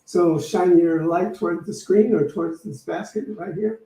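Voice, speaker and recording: A man hosting a church service, speaking informally and haltingly as he makes announcements and invites offerings. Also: sits high, mellow. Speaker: service host, minister. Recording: roomy, distant microphone.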